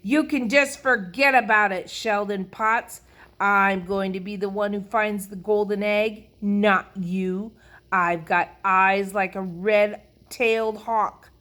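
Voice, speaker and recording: A woman's voice: on the low side, measured pace. Woman acting a scolding, competitive little schoolgirl. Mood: defiant. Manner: scolding, emphatic. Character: jealous, competitive, self-righteous little schoolgirl.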